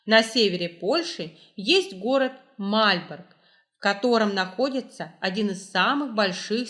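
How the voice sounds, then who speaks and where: A woman reading scripted educational travel narration with measured, clear phrasing. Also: medium pitch, a bit bright. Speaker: narrator, library staff.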